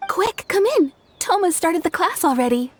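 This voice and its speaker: A woman's voice: extremely high pitch, animated, moderate pace, a little hiss or hum. A woman playing an eager, chatty townsperson in a video game.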